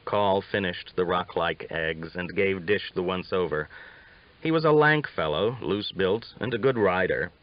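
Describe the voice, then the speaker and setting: Male audiobook narrator for a western novel, lowish voice, dull lo-fi recording. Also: unhurried.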